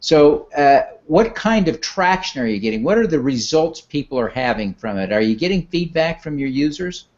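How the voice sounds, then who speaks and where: A man hosting an interview, asking measured, friendly questions. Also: a little high, sing-song, darkish tone. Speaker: interview host. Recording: dull, muffled sound.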